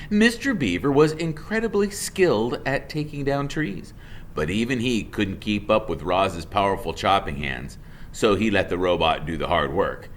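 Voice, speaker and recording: A man's voice: fairly low pitch, animated, normal speed. A man narrating a children's storybook aloud in the role of a teacher.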